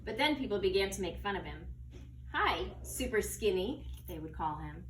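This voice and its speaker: A woman's voice: on the low side, animated, fast talker. A woman teacher reading a children's story aloud. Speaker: second grade teacher. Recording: echoey, distant mic, faint noise.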